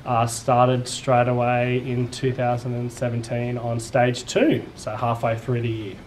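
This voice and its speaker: A man's voice: medium pitch, unhurried, a little hiss or hum, poor audio. A young Australian man, a primary teacher from New South Wales, talking casually to camera in a classroom with plenty of ums. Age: young adult. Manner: relaxed, with frequent ums and uhs. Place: classroom with space posters.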